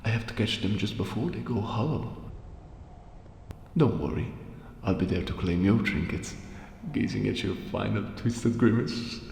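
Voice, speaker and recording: A man's voice: lowish voice, normal speed, gravelly, darkish tone. A man voicing a bitter, crestfallen undead merchant from a fantasy game, sardonic and grumbling in manner. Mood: sneering, grudging. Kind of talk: fan voice acting of game dialogue. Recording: echoey long reverb, faint noise.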